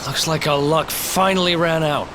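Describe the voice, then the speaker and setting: A man's voice: fairly high pitch, fairly even intonation, normal speed, background noise, natural voice. A young man acting a wry, plucky, roguish fugitive in a video game cutscene. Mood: resigned.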